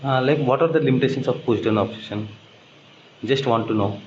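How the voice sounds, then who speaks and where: A man giving halting, hesitant answers as a candidate in a technical interview. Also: mid-range voice. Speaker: job candidate. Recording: dull, echoey, noisy call recording.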